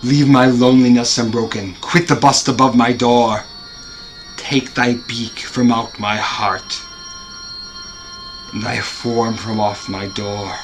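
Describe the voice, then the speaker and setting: A man in his thirties recites gothic horror poetry with melodramatic intensity. His delivery is slow and deliberate, at a middling pitch, captured on a camera in a living room. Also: steady tone, music in the background. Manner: melodramatic, intense, swinging from hushed to shouted. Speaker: performer reciting a poem. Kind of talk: theatrical dramatic recitation of gothic horror verse. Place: carpeted basement living room with low tiled ceiling.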